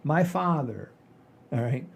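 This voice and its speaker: An older man hosting a podcast, telling stories in a folksy, rambling, animated way with a husky voice. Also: medium pitch, slow pace. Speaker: podcast host. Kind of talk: casual chat and storytelling.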